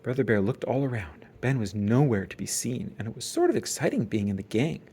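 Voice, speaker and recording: A parent reading a children's picture book aloud in an expressive narrating style. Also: medium pitch, brisk, breathy edge. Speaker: storybook reader, parent.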